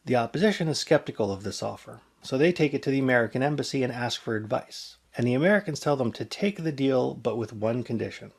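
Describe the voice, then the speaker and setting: A man presents a scripted explainer as narrator. His voice sits at an average pitch for a man. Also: animated, fast, gravelly, few pauses, a little mellow, breathy edge.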